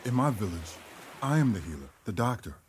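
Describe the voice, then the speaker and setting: A male actor playing an exhausted, kindly village healer who survived a shipwreck, humble and halting, in an audio drama. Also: lowish voice, big pitch swings, slight background noise.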